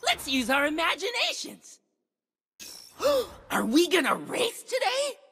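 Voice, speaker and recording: A man voicing an excitable, childlike little dragon in a video game, playful and bubbly, with a squeaky cartoon voice. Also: takes it slowly, creaky, full character voice. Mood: eager, playful. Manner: bubbly, exclamatory, wide-eyed. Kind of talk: animated video game character lines. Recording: music behind the voice.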